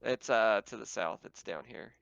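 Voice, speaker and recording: A man speaking as game master, narrating a tabletop roleplaying game in a halting, conversational, descriptive way. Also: lowish voice, vocal fry, lo-fi sound, crowd noise.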